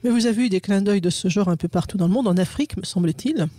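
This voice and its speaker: A woman hosting a radio interview in a formal, measured way. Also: mid-range voice, mellow. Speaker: radio host.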